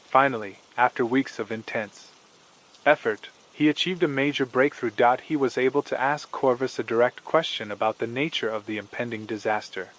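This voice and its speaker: A man narrating a scripted horror story in a measured, formal storytelling manner. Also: medium pitch, a bit bright, poor audio.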